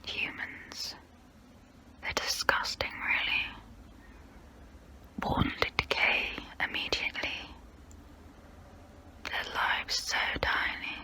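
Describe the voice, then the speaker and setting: A woman as a haughty whispering vampire. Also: bright, forceful tone. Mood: superior, musing. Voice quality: breathy, close-up whisper. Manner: slow, deliberate, condescending. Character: haughty, immortal female vampire, vain, disdainful of humans. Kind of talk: whispered ASMR role play.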